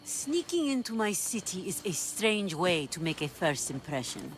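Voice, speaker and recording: A woman's voice: fairly low pitch, sing-song, moderate pace, a little hiss or hum. A woman playing a commanding, shrewd pirate leader in a video game, dry and authoritative. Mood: wary, amused. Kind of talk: dramatic video game cutscene acting.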